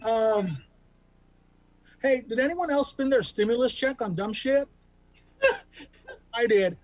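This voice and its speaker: A man with a high-pitched voice tells self-deprecating stand-up bits over a video call. He rambles, restarts, and fills gaps with ums. The sound is dry and close, with no room around it. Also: slow pace, darkish tone, no room sound, lo-fi sound. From Bakersfield, California. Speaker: stand-up comic.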